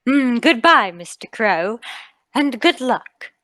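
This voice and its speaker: Casting: actress for tender manor lady in radio drama; slow, refined, expressive speech, dry studio-like sound. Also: a little high, dry recording, heavily acted. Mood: gracious. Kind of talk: radio play table read.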